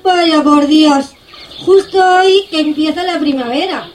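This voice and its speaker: A woman's voice: high voice, a little slow, a little hiss or hum. A woman playing a sceptical, grumbling farmyard hen in a comic radio play. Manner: dismissive, colloquial. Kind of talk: comic radio theatre acting.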